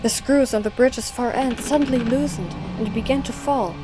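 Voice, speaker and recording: A woman's voice: a little high, moderate pace, loud music behind the voice, low-quality recording. A woman narrator reading scripted story narration for an audio drama.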